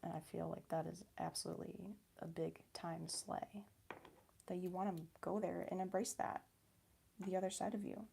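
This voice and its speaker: A young woman with a Midwestern accent chatting softly for an ASMR video, slipping between whispers and a quiet, giggly voice as she answers viewers. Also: fairly low pitch, little pitch movement, normal speed, gravelly, a little hiss or hum. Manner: soft-spoken, drifting between whisper and quiet voice. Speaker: ASMR creator. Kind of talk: ASMR chat while crafting.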